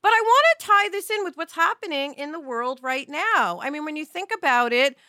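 Woman, bright forceful voice, fairly high, dry close sound, animated casual co-host. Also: fairly quick, no room sound. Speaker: podcast co-host. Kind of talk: casual podcast discussion.

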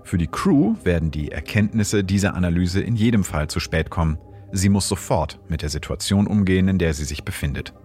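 Deep-voiced male science narrator. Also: animated, a little mellow, soft background music. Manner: measured, explanatory. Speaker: host and astrophysicist.

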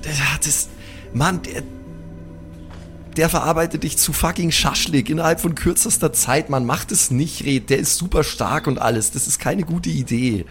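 A man playing an apologetic, excitable superhero with slangy, stammering speech in an improvised audio drama. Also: fairly high pitch, normal speed, soft background music. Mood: alarmed. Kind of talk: improvised German audio drama role-play.

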